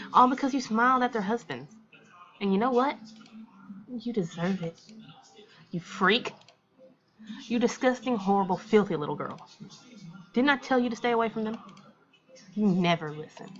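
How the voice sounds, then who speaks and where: A possessive mother from a visual novel , acted out by a woman, bright in tone and slightly muffled in recording. She turns from taunting cruelty to cooing, smothering devotion. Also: on the low side, close to an everyday voice. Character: menacing, possessive mother, swinging between cruel and doting.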